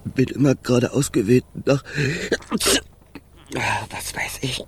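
An actor in a children's radio play voicing the Wind as a frozen, weary, timid wanderer, halting and plaintive with sighing, breathy speech. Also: male voice, mid-range voice, steady tone, a little mellow, whispered, close to an everyday voice. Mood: wistful. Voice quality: breathy, husky.

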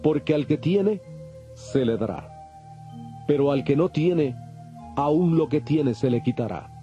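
Casting: a solemn parable-telling teacher, very mellow tone, calm authority, audio Bible drama with soft music. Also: male voice, a little high. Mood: solemn teaching. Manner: measured, solemn teaching delivery. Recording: dull, band-limited audio with music.

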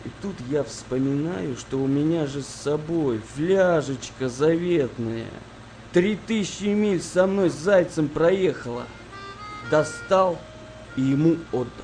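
An actor playing an earthy wartime sailor in a radio play, telling stories in an expressive, colloquial way. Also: male voice, on the high side, slow pace, faint music behind, rough recording. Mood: reminiscing. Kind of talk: Russian radio play acting, storytelling.

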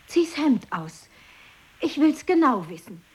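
Slow, high-voiced bossy woman. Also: sing-song, heavily acted. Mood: commanding, probing. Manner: commanding, slow, deliberate phrasing. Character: bossy woman inspecting and ordering someone to undress. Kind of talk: experimental German radio play.

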